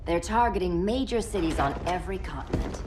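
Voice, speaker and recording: An actress playing a composed female hero who briefs the team in a crisp tone. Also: fairly low pitch, lively intonation, a little fast, loud music behind the voice, close to an everyday voice. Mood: briefing.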